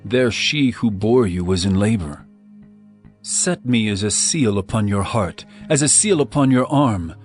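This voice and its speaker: An actor playing an adoring royal lover, declaiming love poetry in a measured, ardent way for a dramatized scripture audiobook. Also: male voice, lowish voice, lively intonation, soft background music. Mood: ardent, solemn. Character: adoring royal male lover praising his bride. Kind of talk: poetic dramatized scripture reading.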